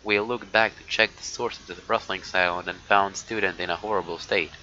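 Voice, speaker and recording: A man with a fairly deep voice reads a visual novel aloud on stream. He goes haltingly, with offhand asides. The sound is muffled and has some noise in it. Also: steady tone, sharp tone. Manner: halting reading broken by muttered asides. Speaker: live streamer. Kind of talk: reading a visual novel aloud with casual reactions. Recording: dull lo-fi mic with noise.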